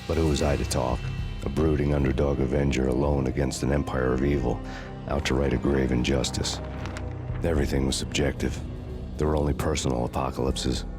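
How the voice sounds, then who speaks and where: A brooding hardboiled American avenger narrates a noir game. His voice is extremely low and flat in pitch, deadpan and wry with world-weariness. Music plays clearly behind him. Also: a little fast.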